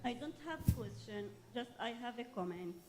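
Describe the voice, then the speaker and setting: A woman's voice: a little high, lively intonation, moderate pace, a little mellow, slight background noise. A woman from Syria with an Arabic accent, making a personal comment from the audience at a public meeting.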